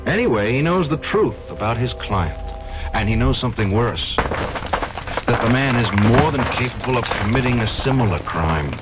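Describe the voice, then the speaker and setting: Actor as troubled, intense lawyer, swooping pitch. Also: male voice, expressive, moderate pace, natural voice. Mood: troubled, brooding. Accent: American. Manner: hesitant, earnest, intense. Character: troubled, agitated younger criminal defense lawyer. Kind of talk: old-time radio drama acting. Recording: radio drama with music behind.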